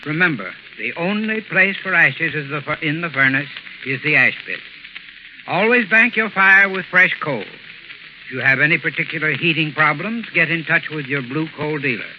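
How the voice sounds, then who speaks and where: A man's voice: fairly high pitch, animated, normal speed. An American man reading a scripted 1930s radio advertisement as a formal, instructive expert. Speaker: sponsor's heating expert. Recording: muffled 1930s radio recording, faint hiss.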